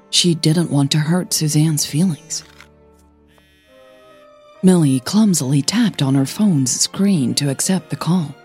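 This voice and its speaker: A woman narrating scripted fiction as a podcast host, in a measured, deliberate way. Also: sits low, a little mellow, slightly rough.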